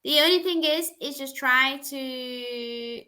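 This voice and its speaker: Upbeat woman tutor giving chatty, encouraging feedback over a video call, bright voice. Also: high-pitched, takes it slowly. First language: English. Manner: encouraging, chatty, lots of "like" and "just". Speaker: English tutor.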